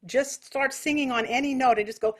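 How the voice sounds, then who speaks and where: A woman's voice: high-pitched, brisk. A woman giving an informal singing lesson as a vocal technique teacher, explaining things with vivid imagery.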